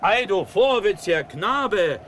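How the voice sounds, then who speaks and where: An actor playing an impetuous, proud young prince and cavalry general in classical radio drama style. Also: male voice, high-pitched, animated, slow, fairly bright tone, a little hiss or hum, full character voice. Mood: scornful. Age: young adult. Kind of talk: German classical verse radio drama acting.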